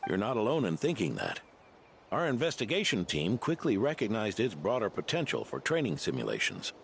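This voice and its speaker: A man playing a seasoned, genial old knight in a video game, with a gravelly voice and measured, dignified delivery. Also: lowish voice, huge pitch swings, a little hiss or hum, full character voice. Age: older. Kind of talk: video game character acting.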